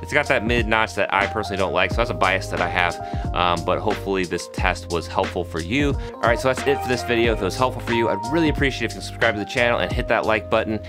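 Man, thirties, very low voice, nasal, relaxed chatty gear review, close studio mic, music bed behind. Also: fast. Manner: relaxed, chatty, with frequent fillers. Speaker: video host testing microphones. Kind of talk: casual gear review to camera. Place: small home studio room, indoors. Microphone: studio microphones close in front.

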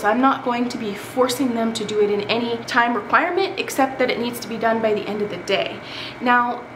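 Woman giving tips in an echoey room. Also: a little high. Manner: friendly, practical, gestures with her hands while talking. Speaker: homeschooling mother and vlogger. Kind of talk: casual tips to camera. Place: living room of a home, indoors. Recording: echoey room with background noise.

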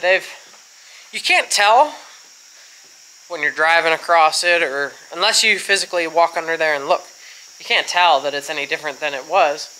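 A man in his thirties chats with his viewers in a bright, forward voice while holding the camera at arm's length. He explains what he sees as he walks along a creek outdoors. Faint crickets and insects hum in the background. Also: on the high side, poor audio. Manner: chatty, explains as he goes, addresses viewers as friends. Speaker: travel vlogger. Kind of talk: casual walk-and-talk vlog. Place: outdoors by a covered bridge and creek. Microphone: handheld camera at arm's length.

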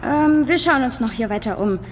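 A woman playing a calm, agreeable girl in a children's adventure audio play. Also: a little high, moderate pace, lightly acted. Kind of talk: children's audio drama acting. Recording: long cave-like reverb, clear water noise.

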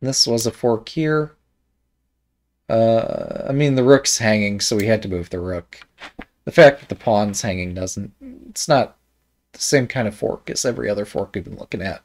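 A man reviewing a board game, thinking aloud in a hesitant, musing way with many pauses and trailing thoughts, with a slight Midwest American accent. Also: on the low side, darkish tone. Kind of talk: casual game commentary while thinking aloud.

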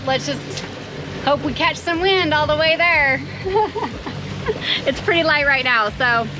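A woman's voice: high voice, slow pace. A woman hosting a sailing vlog in casual, giggly, easygoing chat, recorded outdoors on a boat. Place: outdoors on a sailboat. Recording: noisy open-air boat recording.

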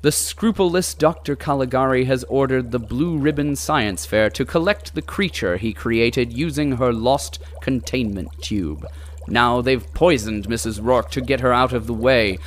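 An actor playing a grandiose old-time radio serial announcer, narrating in a theatrical, portentous way. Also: male voice, medium pitch, a little hiss or hum, lightly acted. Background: music.

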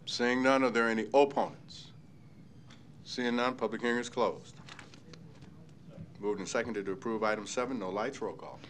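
A man with a Midwestern American accent chairing a city council meeting, halting and full of fillers, at a desk mic in a large chamber. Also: mid-range voice, a bit bright, slight background noise. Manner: halting, many fillers and restarts. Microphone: desk microphone.

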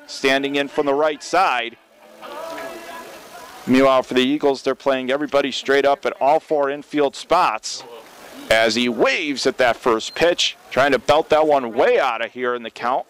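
A man's voice: mid-range voice, normal speed, a bit bright. A man calling a baseball game live as a radio play-by-play commentator. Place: open-air baseball stadium. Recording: clear outdoor ballpark ambient noise.

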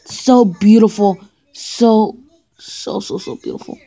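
A woman's voice: medium pitch, normal speed, darkish tone, natural voice, low-quality recording. A woman voicing a smug, possessive male animatronic rabbit in a casual, sarcastic improvised skit. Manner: casual and sarcastic, drops to mutters. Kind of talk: improvised toy comedy skit.

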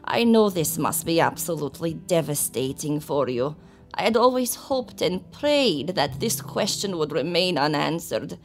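A woman playing a solemn old servant of a vampire king, speaking in a formal, measured storytelling manner in a gothic audio drama. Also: low-pitched, lively intonation. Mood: sorrowful.

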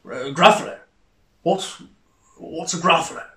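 Man putting on a genteel, bright-voiced storybook owl. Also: a little high, lively intonation, unhurried, reverberant. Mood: inviting. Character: genteel hungry owl in a storybook, easily startled.

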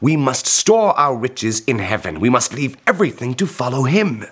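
A zealous young knight in a historical radio drama. The voice is husky, mid-pitched and swings widely. The delivery is pompous and pious, full of arrogant certainty. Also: male voice, sing-song, normal speed, a bit bright. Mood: righteous, impatient. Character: naive, zealous, arrogant young male knight, greedy elder brother. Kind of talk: audio historical drama acting.

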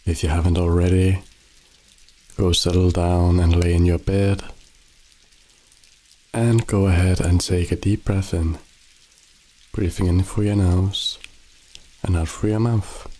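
Low mellow guy doing a sleep meditation, super slow and soothing, rain in the background. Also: poor audio. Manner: slow, soothing, calm instructions. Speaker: meditation guide. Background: rain sounds.

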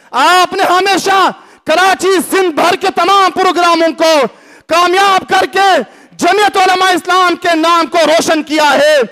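A middle-aged man with a Pakistani Urdu accent delivers a fiery speech from a lectern, his voice pitched very high. He speaks slowly and deliberately, pushing out shouted lines and rhetorical questions to a large indoor crowd. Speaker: religious party speaker. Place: large packed hall, indoors. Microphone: lectern mic.

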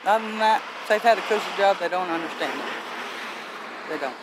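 A woman's voice: lowish voice, brisk, background noise. A local woman speaking bluntly and earnestly in an outdoor interview beside a street.

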